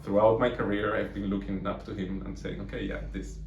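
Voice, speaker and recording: A man's voice: on the low side, steady tone, brisk. A man from Colombia, a mathematics lecturer in his thirties, answering interview questions thoughtfully with many fillers and restarts. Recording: echoey room from far off, faint noise.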